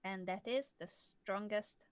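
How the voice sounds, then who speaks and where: A woman's voice: medium pitch, takes it slowly, no room sound. Dry-sounding woman explaining chess. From southern Hungary. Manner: clear, patient explaining, laughs easily. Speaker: chess coach. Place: home, live stream.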